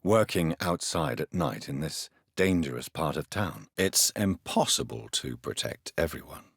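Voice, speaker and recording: A courteous, grave English doctor and war veteran turned vampire in a video game. His voice is very deep and husky, recorded close and dry. He speaks in formal, precise phrases with a widely moving, dramatic pitch. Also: lively intonation, a bit bright, no echo, heavily acted. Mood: courteous, probing. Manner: formal, measured, precise diction.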